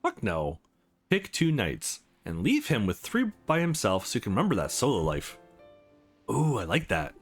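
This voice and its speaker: A man's voice: mid-range voice, huge pitch swings, normal speed, husky, full character voice. A man voicing awkward, wry young women who are rivals in love in a visual novel. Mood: wry, guarded. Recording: music under the voice.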